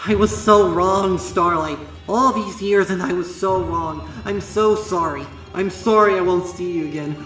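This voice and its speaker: A man voicing a female winged pony, awestruck and terrified, speaking in halting, breathless bursts. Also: sits high, fairly bright tone, voice pushed up. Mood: anguished. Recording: echoey, distant, music behind.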